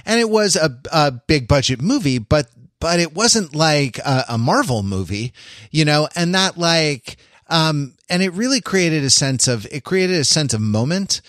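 An American man co-hosting a podcast in casual analytical discussion, wordy and intellectual, often restarting his sentences haltingly. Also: fairly high pitch, fairly bright tone, no echo, clean studio sound. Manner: hesitant, halting delivery with repeated false starts. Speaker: podcast co-host.

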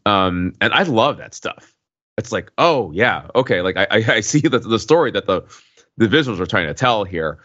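A man with a low voice co-hosts a podcast, recorded close and dry with a somewhat dull tone. He unpacks films casually, in colloquial phrases and frequent asides, checking in as he goes. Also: lively intonation, moderate pace, a bit bright, dry recording. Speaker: podcast co-host. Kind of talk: casual film discussion. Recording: dull, muffled close microphone.

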